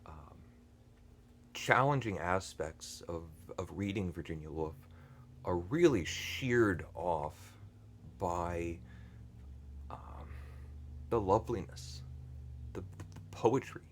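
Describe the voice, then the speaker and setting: A man with a very low, deep voice talks slowly and unhurriedly about books he loves. He is hesitant and earnest, filling pauses with ums, restarting phrases and clearing his throat often. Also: animated, a little hiss or hum. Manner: thoughtful and earnest. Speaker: book channel host. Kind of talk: unscripted book review talk.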